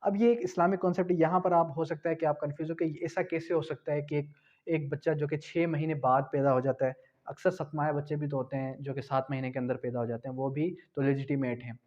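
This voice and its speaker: Man, law tutor, rhetorical questions, fairly high voice, dull lo-fi sound. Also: fairly even intonation, quick pace, a little mellow. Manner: explains with rhetorical questions and repetition. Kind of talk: exam-prep law lecture. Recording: dull lo-fi recording.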